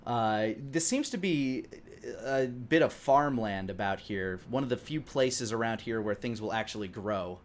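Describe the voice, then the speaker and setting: A man narrating a tabletop game as dungeon master, improvising and pausing often to search for words. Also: mid-range voice, lively intonation.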